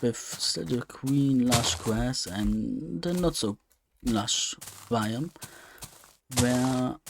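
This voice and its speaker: A man's voice: mid-range voice, gravelly, noisy background. A man giving casual, unscripted gameplay commentary, hesitant and rambling as he thinks aloud. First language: German. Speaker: let's play host.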